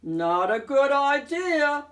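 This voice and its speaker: A woman's voice: high-pitched, very animated, takes it slowly, pitched above the performer's own voice, exaggerated voice. A woman voicing a lean, mangy old male wolf from a picture book, pompous and hungry, with grandiose, emphatic speech. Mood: scheming. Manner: grandiose, emphatic, genteel wording.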